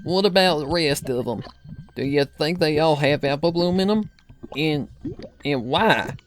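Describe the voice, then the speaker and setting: A man's voice: a little high, unhurried, slight background noise, pitched above the performer's own voice, close to an everyday voice. A man voicing a fretful elderly granny farm pony, talking in a worried, folksy country manner for a cartoon-based story. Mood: uneasy.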